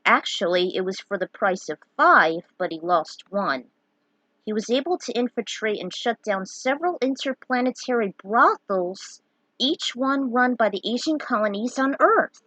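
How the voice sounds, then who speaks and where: A woman's voice: a little high, animated, normal speed, sharp tone, dry recording, low-quality recording. A woman reading a fan fiction story aloud, dramatic in her telling and given to opinionated asides. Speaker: narrator.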